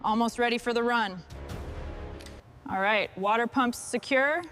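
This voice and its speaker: A woman's voice: a little high, measured pace, fairly bright tone, faint music behind. A woman giving short scripted instructions and remarks as a mechanic on a restoration job.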